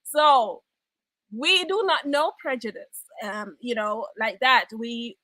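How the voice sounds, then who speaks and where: A woman's voice: on the high side, takes it slowly, no room sound, rough recording. A Jamaican woman hosting a live online broadcast, chatting casually, laughing often and greeting viewers. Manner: lively, laughs often, reads out viewer comments. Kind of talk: casual live-stream chat.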